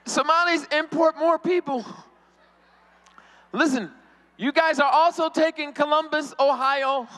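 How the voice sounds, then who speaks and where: A man's voice: very high-pitched, sharp tone, a little hiss or hum. A man doing stand-up at a convention, teasing the crowd and laughing often. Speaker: stand-up comedian. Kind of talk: stand-up comedy. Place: convention hall stage.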